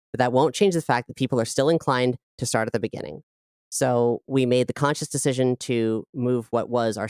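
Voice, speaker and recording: A woman with a low voice explaining creative work as an interview guest, in a conversational style. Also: darkish tone, clean tone, no echo, clean studio sound. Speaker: audio drama creator and director, interview guest.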